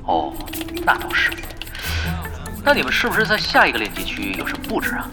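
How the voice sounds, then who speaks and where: A man's voice: medium pitch, huge pitch swings, fairly quick, music in the background. An actor playing a laid-back, teasing young male gamer in a Chinese audio drama, with a casual, drawling delivery. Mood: relaxed, curious.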